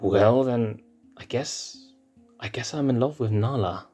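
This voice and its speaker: A man's voice: mid-range voice, slow, mellow, faint music behind, pitched above the performer's own voice. A man voicing a shy young male lion cub prince who stammers and hesitates. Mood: flustered.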